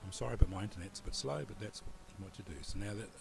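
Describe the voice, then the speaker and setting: New Zealand man with a low voice. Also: fast talker, gravelly, slight background noise. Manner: relaxed, rambling, with restarts. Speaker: tutorial presenter. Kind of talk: casual screen-recorded tutorial.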